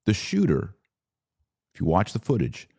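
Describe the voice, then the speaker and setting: A man hosting a podcast, giving solo commentary on the news in an earnest, emphatic way with short, deliberate phrases. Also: low-pitched, huge pitch swings, a little mellow, breathy edge.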